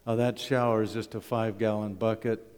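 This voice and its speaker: A man's voice: lowish voice, level tone, fairly quick, darkish tone, clear, resonant tone. A middle-aged or older man gives a humorous, conversational talk to a church congregation, often posing rhetorical questions. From Canada. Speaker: wilderness school principal giving a presentation at church. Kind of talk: folksy public talk with slides. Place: church hall, talking to a congregation. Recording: echoey hall with long reverb.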